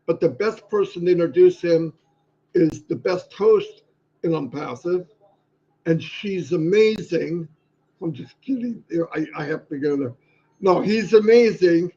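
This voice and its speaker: A man with a high, mellow voice hosts a live online show over a video call. He talks in an emphatic, motivational way and repeats his points for stress. The sound is dull and lo-fi. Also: a little slow, husky. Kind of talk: motivational live-stream talk.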